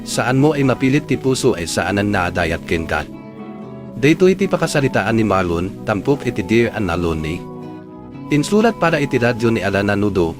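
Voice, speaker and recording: Casting: Ilocano male drama host, low voice, lively intonation, scripted narration over a clear music bed. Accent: Filipino, Ilocano.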